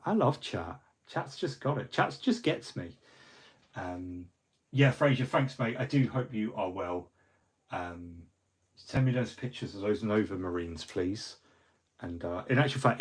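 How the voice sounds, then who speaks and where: A middle-aged man rambles through a casual livestream wrap-up, full of ums and false starts. His voice is husky and sits a little low. He sounds a bit fluey. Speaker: hobby livestream host.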